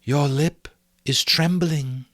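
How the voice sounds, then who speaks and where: A man voicing a solemn, gentle Christmas spirit in a soft, airy, drawn-out voice for a storybook. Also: fairly high pitch, steady tone, no room sound, pitched above the performer's own voice. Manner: slow, drawn-out, otherworldly delivery.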